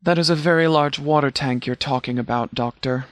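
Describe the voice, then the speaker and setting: A man voicing a composed video game law officer who speaks formally and precisely, with a dry, deadpan manner. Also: fairly high pitch, fairly quick, no echo, close to an everyday voice. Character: composed, formal male sheriff's department commander, strategic and dry. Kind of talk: video game dialogue voice lines.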